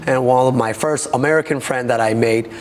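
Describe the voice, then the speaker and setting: A man from South Korea with a Korean accent, a taekwondo master speaking haltingly in an interview, with many fillers. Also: medium pitch, long reverb tail.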